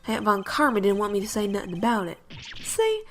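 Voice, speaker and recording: A woman's voice: mid-range voice, very animated, brisk, soft background music. A woman playing a brash, folksy character with a Southern US accent in a video game fan dub. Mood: brash, bargaining. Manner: drawling, colloquial, pushy. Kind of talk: visual novel game fan dub acting.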